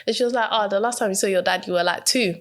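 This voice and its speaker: A woman's voice: medium pitch, rapid-fire. A woman, a guest on a podcast, telling her own story in a hesitant, rambling way and often laughing. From Ghana. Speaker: podcast guest, mental health coach. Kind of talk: casual interview chat.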